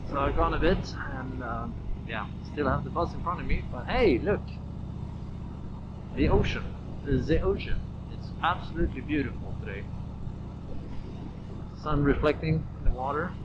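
A man rambling casually and haltingly, thinking aloud as he vlogs from a moving motorcycle. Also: on the high side, lively intonation. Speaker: motovlogger. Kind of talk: casual rambling vlog while riding a motorcycle. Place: outdoors on a moving motorcycle on a road. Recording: muffled helmet audio, loud wind and engine noise.

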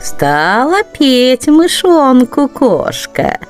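A woman's voice: sits high, very animated, extremely slow, soft background music. A woman storyteller narrating children's verse expressively.

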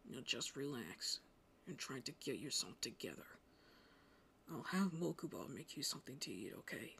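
A woman voicing an arrogant, teasing male anime vampire in a low, breathy voice, in a roleplay audio drama spoken to the listener. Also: very animated, fairly bright tone, a little hiss or hum, full character voice. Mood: bossy, possessive. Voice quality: low, breathy, often whispering. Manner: slow, smug, teasing.

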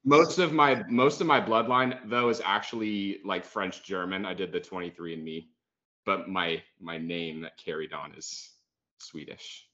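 A man's voice: low-pitched, unhurried, sharp tone. An American man in his thirties, a podcast guest on a video call, talking in a laid-back way with lots of "like.". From United States. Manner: laid-back, says "like" a lot. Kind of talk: casual video-call interview. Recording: muffled video-call audio.

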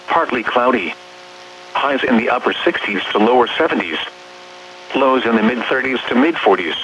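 A synthetic man's voice reading an automated weather bulletin in a measured, impersonal way, as a radio announcer. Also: mid-range voice, fairly bright tone. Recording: hummy, muffled weather radio reception. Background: radio hum and noise.

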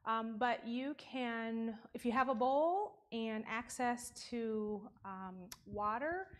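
A woman's voice: a little high, slow. A young woman at the front of a church sanctuary explaining a home treatment in a personal, conversational way, picked up from a distance. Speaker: health seminar presenter. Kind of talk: casual demonstration talk.

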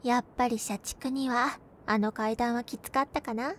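A gentle young girlfriend acted by a woman talks tenderly to her partner in an intimate roleplay. Her voice is high and soft, with a playful, caring touch. There is some background noise with a low rumble. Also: fairly even intonation, moderate pace, natural voice. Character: gentle, cheerful young girlfriend comforting her overworked boyfriend. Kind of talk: Japanese binaural situation-voice roleplay, spoken to the listener.

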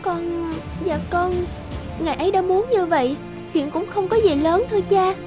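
A woman voicing a gentle, dutiful young bride in a Vietnamese cartoon, speaking politely and earnestly. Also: extremely high pitch, moderate pace. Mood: hesitant, polite. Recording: background music under the voice.

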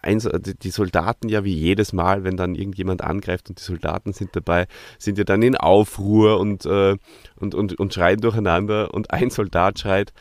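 Austrian man in casual review chat, lowish voice. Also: steady tone, moderate pace, no echo. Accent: Austrian German. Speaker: podcast host.

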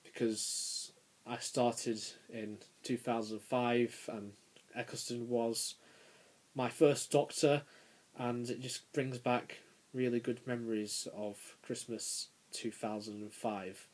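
A young man around nineteen giving a casual, unscripted review as a video creator, halting and pausing mid-phrase. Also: medium pitch, steady tone, a little mellow, poor audio. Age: young adult, about 19. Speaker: YouTube toy reviewer. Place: small bedroom, indoors. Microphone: handheld camera's built-in mic.

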